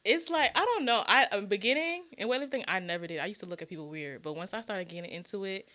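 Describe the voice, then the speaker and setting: A woman's voice: mid-range voice, expressive, quick pace, bright, forceful tone. A woman from Florida talking casually as an interview guest on a podcast. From Orlando, Florida, USA.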